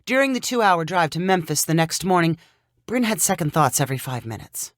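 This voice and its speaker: Female storyteller for short fiction: slightly low register, expressive, dry close recording. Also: a little fast, no echo. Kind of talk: scripted short-story narration.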